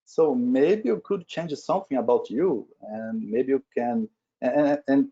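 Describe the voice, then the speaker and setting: A man's voice: mid-range voice, unhurried, dark tone, slightly rough. A middle-aged man from Brazil with a Brazilian accent, a native Portuguese speaker, presenting informally at a webinar and often checking in with "right?". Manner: conversational, frequently tags statements with "right?". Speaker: webinar speaker, agile leader at a retail company. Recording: dull lo-fi webinar audio.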